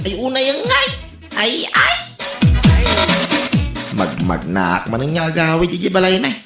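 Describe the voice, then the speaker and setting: A man playing a harried office worker in a comedy radio drama, whiny and exasperated, with an Ilocano accent and drawn-out exclamations. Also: high-pitched, huge pitch swings, roomy sound, over loud music, full character voice. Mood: defensive.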